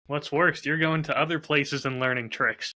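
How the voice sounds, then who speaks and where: A man's voice: fairly high pitch, steady tone, normal speed, bright, forceful tone. A man chatting casually as a podcast co-host, with a wry, self-deprecating way of talking. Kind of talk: casual chat.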